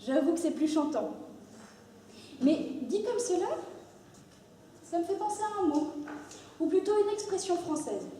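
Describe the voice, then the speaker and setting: A woman's voice: high voice, warm tone. A woman giving a rehearsed, theatrical speech to a jury as a contestant in an eloquence competition, playing up puzzlement and rhetorical questions. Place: large reverberant indoor hall. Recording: echoey hall, distant mic, faint noise.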